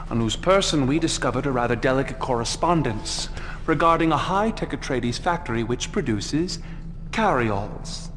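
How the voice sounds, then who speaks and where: Slow, smug villainous military schemer. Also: male voice, mid-range voice, a bit bright, big-room sound, slight background noise. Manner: sardonic, deliberate, savoring pauses. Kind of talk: dramatic game cutscene briefing.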